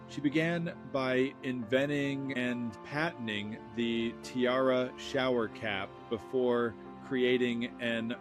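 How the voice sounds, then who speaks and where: A man hosting a podcast, reading a scripted introduction in a measured, announcer-like way. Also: medium pitch. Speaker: podcast host. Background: faint guitar music.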